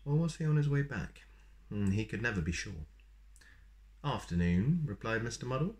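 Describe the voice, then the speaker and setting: A man in his thirties reading a children's story aloud in an expressive, playful way. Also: medium pitch, brisk. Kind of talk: animated read-aloud with asides to young listeners. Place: small plain room indoors.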